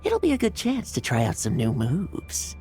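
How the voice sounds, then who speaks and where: An actress voicing an earnest, determined young fighter in a fantasy video game. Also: female voice, low-pitched, huge pitch swings, moderate pace, dark tone, soft background music, exaggerated voice. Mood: accepting, determined.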